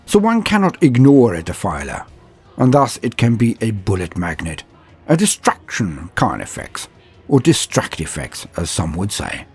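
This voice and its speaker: A man's voice: fairly low pitch, huge pitch swings, normal speed, slightly rough, faint music behind. A man hosting and narrating scripted fantasy wargame lore in a theatrical, florid, formal manner. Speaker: host and narrator of a tabletop wargame lore channel.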